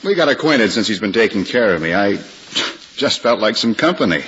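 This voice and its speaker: An actor playing a kind, easygoing young lawyer and fellow patient with an American accent, casual and reassuring, in 1950s radio drama style. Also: male voice, lowish voice, animated, fairly quick, a bit bright. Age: young adult. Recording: hissy, muffled, reverberant old radio recording.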